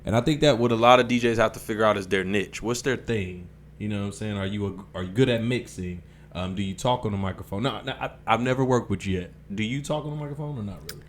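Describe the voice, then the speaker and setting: A man's voice: lowish voice, quick pace, few pauses, a little hiss or hum. A man hosting a casual podcast interview, easygoing and full of "okay" and "um". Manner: easygoing, lots of "okay" and "um" backchannels. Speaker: podcast host.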